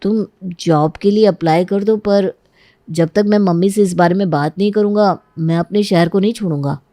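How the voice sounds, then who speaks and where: Woman doing a young cartoon fiance guy, mid female-range pitch, sweet-talking coddling mama's boy, earnest. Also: normal speed, warm tone, no echo, clean studio sound, lightly acted. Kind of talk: Hindi cartoon story acting.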